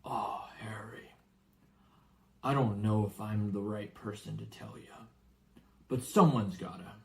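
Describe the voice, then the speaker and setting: A man voicing a big, gruff, excitable but kindly giant with a rustic, blustering way of talking, in a storybook reading. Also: fairly low pitch, unhurried. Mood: anxious, hesitant. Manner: rustic, blustering exclamations and hesitant asides.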